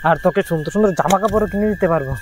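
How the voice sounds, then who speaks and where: A man's voice: high-pitched, racing, noisy background, lightly acted. A man playing a poor, protective woodcutter father in an amateur horror drama, plain-spoken and repeating himself. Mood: chatty, cautious.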